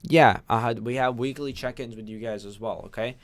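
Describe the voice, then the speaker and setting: A young man who grew up in Pakistan chats casually through a livestream mic, greeting viewers by name and answering their questions as they come in. His voice is clean and smooth, sitting at a middle pitch for a man. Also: steady tone, moderate pace. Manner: chatty, reads viewer names and questions aloud. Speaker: online course instructor.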